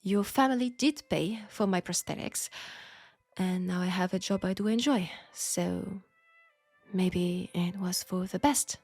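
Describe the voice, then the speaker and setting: A woman with a French accent playing a proud, wry young Parisian seamstress in a scripted audio roleplay, speaking in a measured, pointed way. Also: fairly low pitch, a little mellow, slightly rough, soft background music. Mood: resigned, wry. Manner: measured, pointed, sardonic, with sighs.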